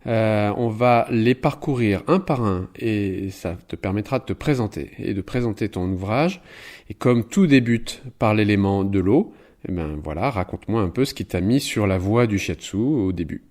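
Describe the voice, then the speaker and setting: A man's voice: fairly low pitch, lively intonation, moderate pace, darkish tone. Informal male podcast interviewer. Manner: friendly, informal, with fillers.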